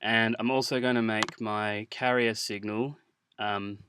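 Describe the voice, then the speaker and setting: A man teaching music software in an informal tutorial, hesitant as he thinks aloud while demonstrating. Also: lowish voice, steady tone, a little slow. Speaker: music production instructor. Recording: clear background noise, mouse clicks.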